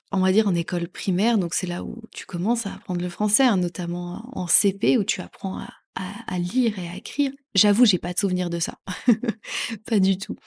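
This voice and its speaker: A woman's voice: mid-range voice, a little mellow. A woman from France hosting a podcast as a French teacher, talking casually with many fillers and frequent laughs. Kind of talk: casual educational podcast talk.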